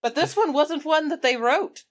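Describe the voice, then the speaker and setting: High-pitched woman reading and bantering. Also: lively intonation, moderate pace. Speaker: book club podcast performer reading aloud. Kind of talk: improvised comedy chat with read-aloud passages.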